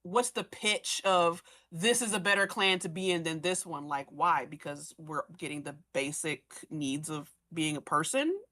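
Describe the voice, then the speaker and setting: Woman podcast cast member, slightly low register, informal and conversational, working out thoughts mid-sentence. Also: fairly even intonation, normal speed, few pauses, pushed and bright. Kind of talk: casual panel chat.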